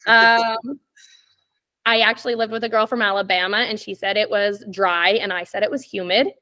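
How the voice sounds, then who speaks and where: A woman's voice: mid-range voice, steady tone, moderate pace, sharp tone. Chatty young Canadian woman guest. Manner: chatty, laughs often, many "like" and "um" fillers. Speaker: podcast guest. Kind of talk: casual storytelling chat.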